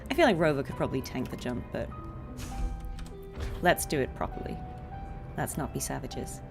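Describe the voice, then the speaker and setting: Female streamer, low and slightly gravelly, informal and chatty, light game music underneath. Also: sing-song, normal speed. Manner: chatty, informal, chuckles easily. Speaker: voice actress livestreaming gameplay. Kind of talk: casual livestream chat while playing a game. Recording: faint game music behind voice. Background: faint game music.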